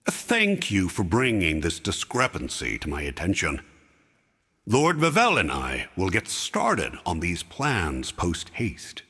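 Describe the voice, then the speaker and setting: An actor playing a young king, formal and measured. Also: sits low, wildly swooping pitch, bright, forceful tone, husky, big-room sound, heavily acted. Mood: respectful.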